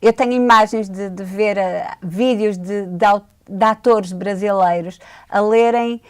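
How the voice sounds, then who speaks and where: A woman from Portugal with a European Portuguese accent, co-hosting a radio show and talking slowly and thoughtfully about reading poems. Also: medium pitch. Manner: thoughtful, hesitant, with fillers. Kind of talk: casual conversation about poetry.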